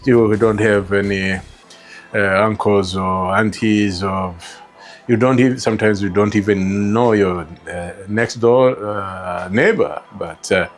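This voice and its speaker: A middle-aged man from Rwanda with a Rwandan accent tells his personal story as an interview guest, halting and repeating words as he reflects. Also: lowish voice, fairly even intonation. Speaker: interview guest, store co-founder.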